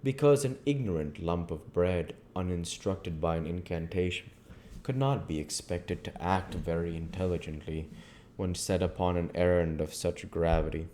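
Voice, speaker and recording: A man's voice: deep voice, normal speed, warm tone, a little hiss or hum. A man reading classic fiction aloud as a narrator. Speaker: audiobook reader. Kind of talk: scripted literary narration.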